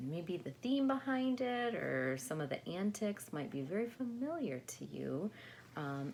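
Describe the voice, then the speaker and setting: Female storybook reader for children, lively intonation, creaky voice, explanatory, faint noise behind. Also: sits low. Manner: expressive, explanatory. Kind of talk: read-aloud for children with casual asides.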